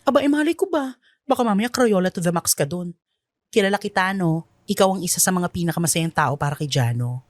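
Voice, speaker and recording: Sassy bestie in radio drama, low voice that swoops all over, playful slangy teasing, dry sound. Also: female voice, lively intonation, fairly quick, no echo. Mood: playful, bossy. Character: sassy, teasing best friend with campy slang.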